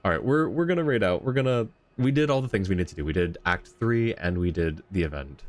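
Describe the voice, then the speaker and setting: A man's voice: sits low, animated, fast. Chuckling, easygoing male streamer. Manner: laughs often, rambling and easygoing. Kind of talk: casual chat with viewers while streaming.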